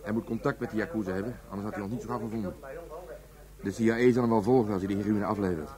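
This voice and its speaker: An actor playing a brisk, dutiful Dutch police detective in a radio play. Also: male voice, on the low side, steady tone, a little mellow, natural voice. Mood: matter-of-fact.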